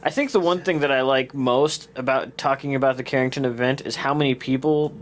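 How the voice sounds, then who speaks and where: Male podcast co-host, medium pitch, relaxed slangy chat, some noise on the recording. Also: fairly quick. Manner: informal, slangy. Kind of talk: casual chat.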